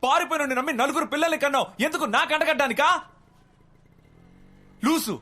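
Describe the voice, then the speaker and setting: A young actor playing a short-tempered reporter son who reads news rapidly and scolds his mother harshly. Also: extremely high pitch, sharp tone. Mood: yelling. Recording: heavy film score behind the voice.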